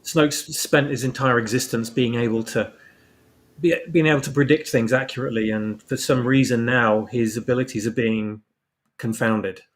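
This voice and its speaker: A man's voice: medium pitch, steady tone, moderate pace. A man taking part in a casual podcast discussion.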